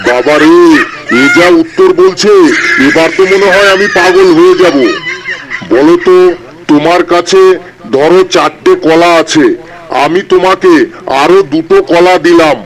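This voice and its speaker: A man's voice: high voice, a little slow, long pauses, a crowd in the background, rough recording. A man voicing an easily flustered, exasperated schoolteacher in a comedy cartoon, speaking theatrically.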